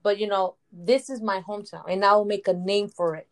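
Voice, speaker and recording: A woman's voice: medium pitch, fairly even intonation, fairly quick. A woman, an aspiring wrestler, talking in a rambling casual way full of "you know". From United States. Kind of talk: casual interview conversation.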